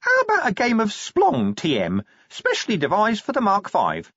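A shrill pleading gadget imp. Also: male voice, wildly swooping pitch, fairly bright tone, slightly rough, dry recording, full character voice. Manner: fast, pleading, salesman-like chatter. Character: shrill small male imp in a gadget, eager. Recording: dry, slightly dull recording.

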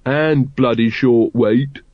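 A man's voice: medium pitch, fairly even intonation, takes it slowly, dry recording, natural voice. A man voicing a petty, grumbling male cult member, in a dry, dull recording.

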